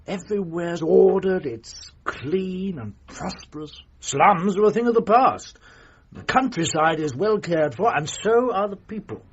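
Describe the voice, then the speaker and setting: A pompous British merchant banker in a radio play. He is patronising and measured, with a lively, swooping melody. The recording is slightly muffled. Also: high voice, slightly rough, heavily acted. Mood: smug. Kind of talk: radio drama acting. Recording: muffled, faint noise.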